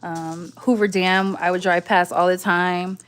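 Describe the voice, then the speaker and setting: A woman's voice: on the low side, fairly even intonation, measured pace, smooth. A young woman from the southwestern United States chatting casually on a livestream at home, rambling along in slangy, informal talk. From southwestern United States (Arizona and Las Vegas). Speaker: livestream host.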